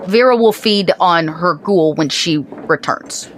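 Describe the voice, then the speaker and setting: A woman's voice: on the low side, takes it slowly, a little hiss or hum. A woman casually narrating her character's choices as a player in a tabletop roleplay game.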